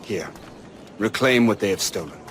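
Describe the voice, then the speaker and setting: An actor playing a high-minded colonial-era army colonel in a game cutscene, speaking formally in a measured, gentlemanly manner. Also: male voice, lively intonation, a little hiss or hum. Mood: encouraging. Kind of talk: dramatic game cutscene dialogue.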